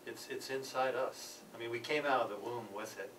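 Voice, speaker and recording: A man presenting a peace program at a conference, speaking haltingly with many fillers and restarts. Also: mid-range voice, a bit bright. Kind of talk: conference panel talk. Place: church conference hall. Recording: distant, noisy conference recording.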